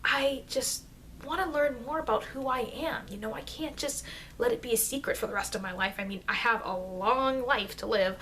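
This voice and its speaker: A woman playing a chatty, informal immortal vampire teacher in an ASMR roleplay, rambling hesitantly and confidingly, with soft dips into whispering. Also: on the high side, moderate pace, voice pushed up. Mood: confiding, defensive. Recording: noisy amateur microphone.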